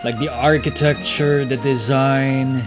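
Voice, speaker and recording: A man's voice: mid-range voice, steady tone, a little slow. A man streaming a video game, giving laid-back, slangy reaction commentary over game music.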